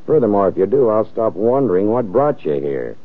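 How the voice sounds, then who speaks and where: A world-weary, wisecracking police lieutenant in an old radio crime show. His voice is deep and swings widely in pitch, hard-boiled and sardonic, on a boxed-in vintage recording. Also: sing-song, brisk. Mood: dry, wry. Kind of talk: old-time radio crime drama acting. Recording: muffled, narrow-band old radio recording.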